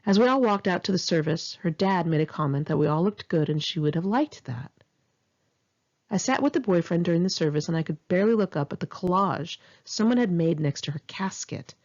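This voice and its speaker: Expressive lower-pitched woman reading memoir. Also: brisk, muffled recording. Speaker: author reading own memoir. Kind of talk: first-person memoir narration, audiobook read in one take.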